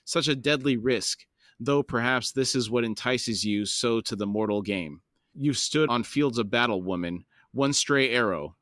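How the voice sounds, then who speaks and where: A rasping, scornful tyrant king who lingers over every word of his gloating speeches, read aloud by a man. The delivery sits at a middling pitch in a dry, close recording. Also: measured pace, a bit bright, no echo, lightly acted. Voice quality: rasping, self-satisfied.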